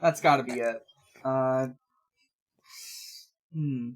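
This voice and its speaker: A man's voice: medium pitch, fairly even intonation, slow pace, poor audio. A man co-hosting a podcast in casual chat, matter-of-fact and hesitant as he thinks things through aloud. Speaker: podcast co-host.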